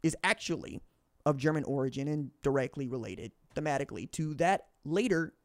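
A man's voice: on the high side, a little fast, no echo, clean studio sound. A man hosting a podcast, reading a book aloud and adding his own commentary, often stumbling and correcting himself mid-sentence. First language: English. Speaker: podcast host.

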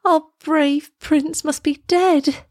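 A woman's voice: fairly high pitch, a little fast, warm tone, dry recording, clean studio sound, voice pushed up, close to an everyday voice. A woman voicing an earnest, polite young girl who speaks with pleading emotion in a children's storybook. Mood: distressed. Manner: pleading, exclamatory.

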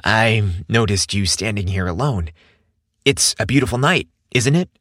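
A man playing a shy, soft-spoken young man. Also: on the low side, expressive, unhurried, dry recording, clean studio sound. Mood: hesitant, gentle. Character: shy, kind young man, nervous and smitten.

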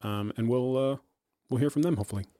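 A man in his thirties chatting casually on a tabletop game podcast, easygoing and quick to laugh. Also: medium pitch, mellow, dry recording, clean studio sound. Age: 30s. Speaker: podcast player.

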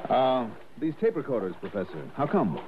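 A man acting as a wry, skeptical private investigator in a vintage radio drama. His mid-pitched voice moves in a lively way, easygoing and politely doubtful, through a tinny old broadcast. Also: moderate pace, background noise, lightly acted. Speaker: lead actor and narrator. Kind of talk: 1960s radio detective drama.

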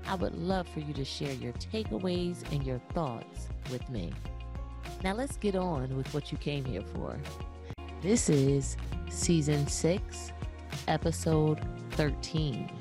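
Lower-pitched upbeat woman podcast host. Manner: enthusiastic, repeats praise. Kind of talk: casual interview, upbeat.

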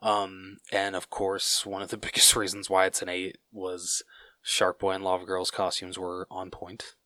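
A man's voice: sits low, monotone, a little slow, pushed and bright, dry recording. A man co-hosting a podcast, chatting casually about a movie in a joking, laid-back way. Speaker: podcast co-host. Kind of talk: casual movie review chat.

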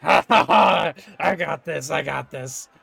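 A man's voice: fairly high pitch, measured pace, gravelly, pushed and bright, husky, dry recording, exaggerated voice. A man voicing a brawny, boastful male arm-wrestling champion who gloats and sulks when he loses. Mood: gloating. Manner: gloating, sore loser.

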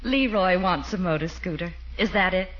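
A woman's voice: on the low side, moderate pace, lightly acted. Poised, teasing lady principal, played by a woman. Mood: amused. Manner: composed, gently teasing. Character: poised, teasing lady school principal, sensible and coolly amused. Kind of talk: 1940s radio comedy acting. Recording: muffled 1940s radio recording, faint noise.

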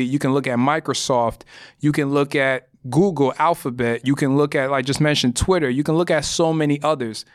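A young man from Ghana hosts a solo podcast, laying out his ideas in an earnest, explanatory way. His voice sits a little high and sounds smooth and clear. He is recorded close and dry, with no room sound. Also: no room sound. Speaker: podcast host.

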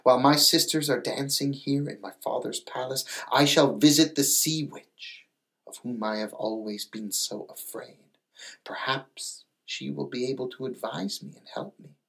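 Storybook young mermaid read by a man: yearning, earnest, pleading, unhurried. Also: mid-range voice, vocal fry, fairly bright tone, rough recording. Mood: resolved.

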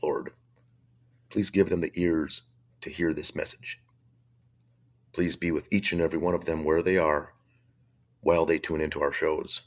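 A man hosting a faith-based talk radio show, hoarse from a chest cold, talking in a blunt, opinionated, conversational way. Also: extremely low pitch.

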